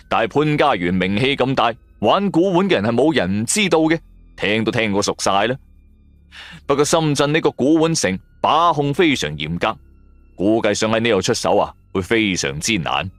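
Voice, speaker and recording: Animated Cantonese male storyteller over music. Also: on the low side, normal speed, no room sound. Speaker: audio drama narrator. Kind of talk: scripted first-person narration of a supernatural radio serial. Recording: music bed under the voice. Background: background music.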